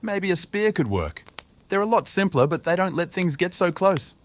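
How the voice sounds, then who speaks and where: A man voicing a loud, grumpy male dwarf smith, brash and coarse in manner. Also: high-pitched, lively intonation, normal speed, slight background noise, pitched above the performer's own voice. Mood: grouchy.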